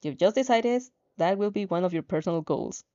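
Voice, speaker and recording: A woman's voice: mid-range voice, lively intonation, brisk, dark tone, highs cut off. A woman reading a story expressively, with casual, playful asides and the odd stumble over words. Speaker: fan fiction reader reacting as she reads.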